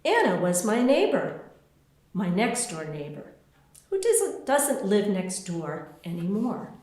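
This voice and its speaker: Female children's book reader, unhurried and very animated in pitch, heard in a strongly reverberant room. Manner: expressive, deliberate storytelling with spaced phrases. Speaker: author reading her own book. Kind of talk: children's picture book read-aloud. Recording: very echoey room.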